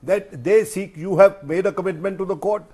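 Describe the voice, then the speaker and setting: Indian guy, journalist on a talk panel, kinda high voice, very emphatic and rhetorical. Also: normal speed, darkish tone. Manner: emphatic, rhetorical, repeats phrases. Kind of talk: panel discussion commentary.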